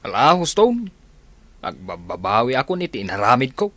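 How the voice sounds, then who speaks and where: A man's voice: medium pitch, sing-song, normal speed, fairly bright tone, dry recording. A man with an Ilocano Filipino accent playing a sheepish, pleading jobless petty thief in a radio comedy drama. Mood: contrite, eager.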